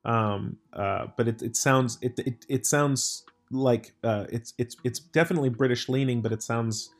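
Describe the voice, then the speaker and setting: A man's voice: medium pitch, normal speed. An American man hosting a casual chat, rambling with lots of restarts and filler words. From United States.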